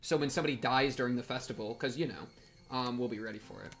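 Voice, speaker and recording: A man hosting a live game playthrough, excitable and given to repeating his phrases, bantering with chat and reading game text aloud. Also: mid-range voice, fast talker, soft background music. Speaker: livestream host.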